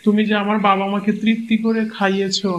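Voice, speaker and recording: Cartoon dub: a man as a calm, trusting young husband, Bangladeshi Bengali accent, higher male voice, dull lo-fi audio. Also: fast, a little hiss or hum, close to an everyday voice. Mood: pleased. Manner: calm, reasonable explaining. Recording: dull lo-fi sound.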